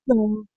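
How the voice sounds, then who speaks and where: A woman's voice: high voice, darkish tone, husky, no room sound, studio quality. A woman from the Philippines, a guest in a casual interview, who laughs often and says "like" a lot. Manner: laughs often, leans heavily on "like".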